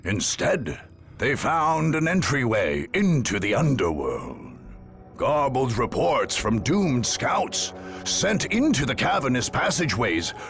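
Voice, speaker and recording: A solemn male chronicler of a far-future war, narrates a game with theatrical weight. His voice has a gravelly creak and moves dramatically up and down in measured phrases. Music plays clearly behind him. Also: mid-range voice, a bit bright, full character voice.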